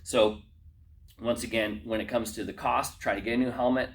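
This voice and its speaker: A man's voice: medium pitch, fairly even intonation, moderate pace. A man giving a casual, unscripted product walkthrough as a racing driver, talking informally and slangily straight to his viewers.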